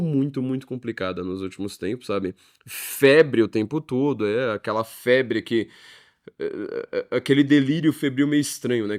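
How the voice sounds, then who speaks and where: A man with a Brazilian Portuguese accent speaks at an ordinary male pitch as a podcast host. He talks casually and directly to listeners, slipping in tongue-in-cheek, mock-pompous asides. His delivery hesitates now and then and leans on little tag questions.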